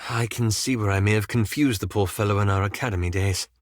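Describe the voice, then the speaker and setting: An actor playing a reserved, fastidious wizard in a video game, with formal, dryly sarcastic and understated phrasing. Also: male voice, fairly low pitch, dry recording, clean studio sound, lightly acted. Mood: dry, wry. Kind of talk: scripted video game character dialogue.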